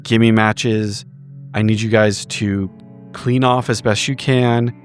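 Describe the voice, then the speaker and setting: A man playing a tabletop roleplaying game, improvising in character with halting, repetitive, swear-laden phrasing. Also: fairly low pitch, flat delivery, faint music behind. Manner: halting, repetitive, profanity-laced speech. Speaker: tabletop roleplay podcast player.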